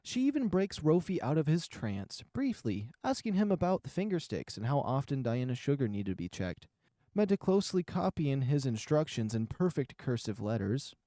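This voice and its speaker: A man's voice: medium pitch, big pitch swings, moderate pace, mellow, dry recording, studio quality. A man reading scripted first-person narration from a visual novel.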